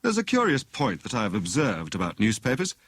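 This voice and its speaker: A man's voice: lowish voice, wildly swooping pitch, brisk, a bit bright, husky, dry recording, studio quality, full character voice. A man voicing a jovial, pompous husband who plays amateur detective in a teasing, mock-grand manner for an audiobook.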